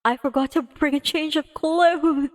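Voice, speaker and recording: A woman's voice: high-pitched, steady tone, a little slow. A woman livestreamer reading a visual novel aloud expressively, with playful casual asides. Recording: music behind the voice. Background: music.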